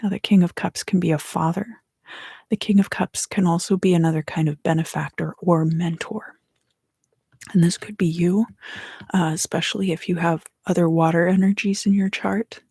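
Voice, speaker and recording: Breathy whispering woman, close and dry. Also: on the low side, fairly even intonation, no echo. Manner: slow, hushed, gentle delivery with pauses. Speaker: tarot reader and host. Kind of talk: whispered ASMR tarot reading.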